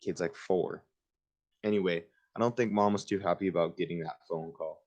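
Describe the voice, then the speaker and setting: A man's voice: low-pitched, fairly even intonation, moderate pace, a little mellow, highs cut off. A man reading a children's book aloud in an informal way, with casual asides of his own. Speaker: reader hosting a book livestream.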